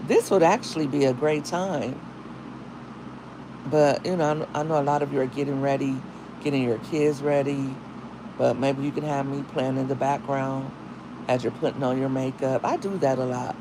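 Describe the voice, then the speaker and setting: A woman with a deep, husky morning voice, talking casually to viewers in a livestream as a single mom and content creator, with chatty, slangy asides. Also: steady tone, normal speed, background noise. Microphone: phone.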